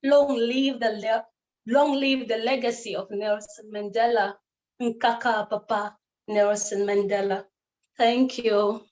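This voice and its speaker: A woman's voice: a little high, steady tone, takes it slowly, a little mellow, muffled recording. A woman from Cameroon performing a spoken word poem in a rhythmic, declamatory way, with repeated refrains.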